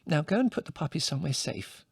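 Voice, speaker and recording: A prim, flustered middle-aged mother who scolds and fusses in a raised, lively voice, as a male narrator puts her on. The audiobook sound is dry and close. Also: a little high, quick pace, creaky, breathy edge, dry recording, pitched above the performer's own voice, exaggerated voice. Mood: flustered scolding.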